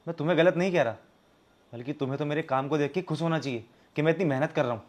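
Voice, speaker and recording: A man's voice: a little high, racing, natural voice. A young man with an Indian accent acting a stubborn, fault-finding but earnest husband in a domestic drama. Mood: earnest, reproachful. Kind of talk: scripted domestic drama acting in Hindi with some English.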